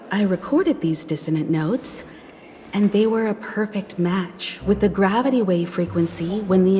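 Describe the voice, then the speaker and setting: An actress voicing a composed, cautious woman who leads a space crew in a video game, speaking in a measured, thoughtful way. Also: mid-range voice, mellow, lightly acted. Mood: intrigued, explaining. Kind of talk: English video game voice acting. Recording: music playing behind the voice.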